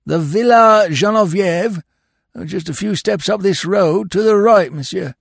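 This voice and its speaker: A deferential country peasant giving directions, as a man lifts his voice well above his own. It is high and polite, a storybook part read close in a dry space. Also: measured pace, vocal fry, slightly rough, dry recording, exaggerated voice.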